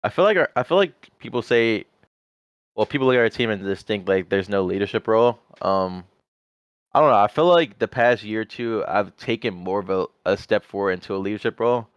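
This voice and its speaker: Young man, podcast guest, deep voice, smooth, dry slightly muffled sound, rambling casual chat. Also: expressive, a little fast, no echo. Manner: rambling, heavy use of "like". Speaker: guest pro player.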